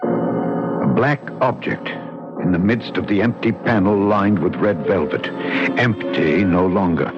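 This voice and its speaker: Low, dramatic gentleman narrator, old radio. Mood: horrified, dramatic. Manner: formal, measured, dramatic. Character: thoughtful, uneasy gentleman narrating a mystery. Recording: dull old radio recording with music.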